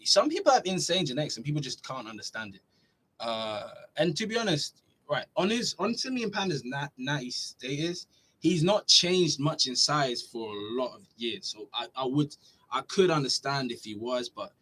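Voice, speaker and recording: A young man from Norway, raised largely elsewhere, who hosts a relaxed live Q&A for his fitness channel, reading viewer questions aloud and answering them in a chatty way. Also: medium pitch. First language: Norwegian. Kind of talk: casual livestream Q&A.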